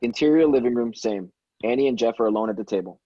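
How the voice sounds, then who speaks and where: A man's voice: medium pitch, quick pace, no room sound, lo-fi sound. A man reading script stage directions aloud in a plain, matter-of-fact way, with occasional stumbles. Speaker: stage directions reader at a table read.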